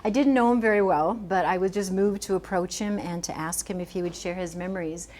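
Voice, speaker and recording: A soft-spoken woman in her sixties giving a gentle, chatty library talk as an author, often laughing a little. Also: lowish voice, fairly quick, slight background noise. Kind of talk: informal public talk. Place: library meeting room.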